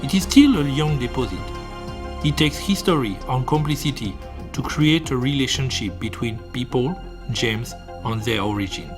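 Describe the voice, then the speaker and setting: Guy reading a documentary script, steady formal delivery, music playing under it. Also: mid-range voice. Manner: measured, formal.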